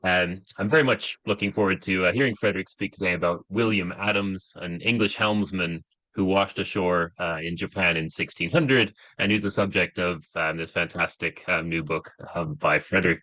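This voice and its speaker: A man hosting a webinar introduces the guest speaker in a very deep yet bright, forceful voice. His delivery is halting, with frequent ums and uhs, and the call audio is dry with no room echo. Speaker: webinar host.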